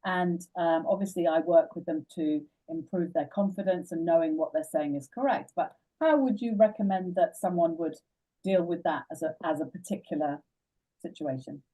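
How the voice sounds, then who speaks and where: A woman's voice: lowish voice, moderate pace, dark tone. A woman hosting an interview, an English teacher asking thoughtful questions. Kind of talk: interviewing a guest. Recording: distant mic.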